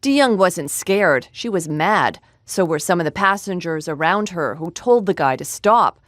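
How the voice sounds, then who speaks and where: A female TV reporter with a fairly low voice reads her scripted report in a crisp, composed manner. She sounds steady and professional. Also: measured pace, no room sound, clean studio sound. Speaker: television news reporter.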